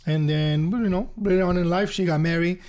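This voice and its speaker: A man talks through his story as a podcast guest, earnest and relaxed. His voice sits a little higher than most men's. He circles back and repeats phrases to press a point home. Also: fairly quick. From Honduras. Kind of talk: casual storytelling interview.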